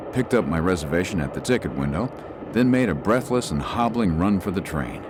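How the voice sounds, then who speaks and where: Dude voicing a tired young lawyer, really deep voice that moves around a lot, noisy train sound behind. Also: male voice. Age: young adult. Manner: reflective, measured. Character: young male lawyer with a broken leg, weary. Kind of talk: first-person audio drama narration.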